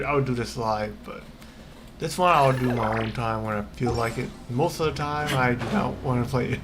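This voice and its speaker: A man giving casual game livestream commentary, talking haltingly and often correcting himself. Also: mid-range voice. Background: game music.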